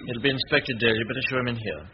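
A man playing a suave, self-assured gentleman detective in 1940s radio drama style, courteous and clipped, with an edge of exasperation. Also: mid-range voice, fairly even intonation, racing, noisy background, natural voice. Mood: exasperated. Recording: husky, dull old radio recording.

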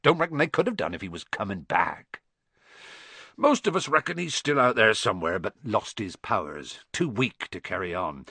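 A husky-voiced man voicing a huge, gruff but kindly bearded giant with rough folksy speech. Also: pushed and bright, no echo, exaggerated voice. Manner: rough folksy speech, booming when angry.

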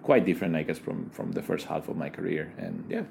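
Low-voiced man. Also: steady tone, creaky, a little mellow, slight background noise. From Ecuador. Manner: thoughtful, halting, many fillers and restarts. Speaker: guest, digital design leader. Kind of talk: casual interview conversation.

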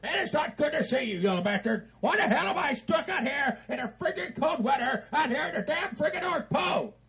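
A man playing a cranky, foul-mouthed old hillbilly who yells and laughs as he rants. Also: very high-pitched, expressive, brisk, vocal fry, full character voice. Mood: ranting. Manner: foul-mouthed, yelling, laughing while ranting.

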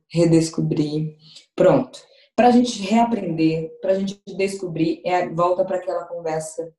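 A woman speaks to an online audience over a video call. Her voice sits a little low and mellow, and her tone stays conversational as she pulls in their replies. The room around her echoes. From Salvador, Bahia, Brazil. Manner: conversational, addresses audience directly, reads out chat replies. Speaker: guest speaker in an online event. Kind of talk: interactive online talk.

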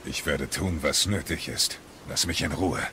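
A man playing a gruff, brooding warrior god and father in a video game audio drama, gravelly and terse. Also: a bass voice, noisy background. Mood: curt, brooding. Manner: terse, slow, heavy delivery.

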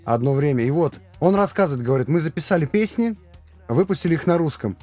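Man telling lively band anecdotes. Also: a little high, quick pace, faint music behind. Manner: lively anecdotes, mimics other people. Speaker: band keyboardist. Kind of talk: casual podcast storytelling.

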